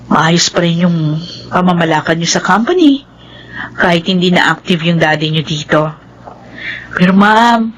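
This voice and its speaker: A woman's voice: mid-range voice, slight background noise, lightly acted, poor audio. A woman acting a kind, attentive assistant, wife and mother in a radio drama, speaking politely and conversationally. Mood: caring.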